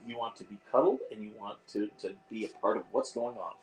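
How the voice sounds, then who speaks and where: A hoarse man hosting a casual livestream, rambling with lots of fillers and reading out the chat. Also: on the low side, huge pitch swings, fast talker, a little mellow, low-quality recording. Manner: rambling, reads chat aloud, frequent fillers. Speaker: host of a veterans livestream. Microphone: iPad.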